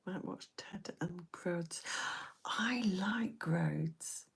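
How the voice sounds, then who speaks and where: A woman hosting a late-night live stream, talking to her viewers in a soft, half-whispered voice. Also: on the low side, drawn-out words, gravelly, breathy edge.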